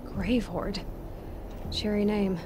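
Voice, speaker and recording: A woman's voice: mid-range voice, steady tone, slow, background noise, lightly acted. An actress playing a determined young female hunter, blunt and questioning. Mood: inquiring. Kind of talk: video game cutscene acting.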